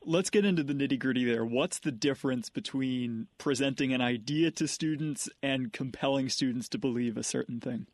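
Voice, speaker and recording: A man hosting an interview, asking steady, direct questions. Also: medium pitch, moderate pace, hardly any pauses, no room sound, clean studio sound. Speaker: radio interviewer.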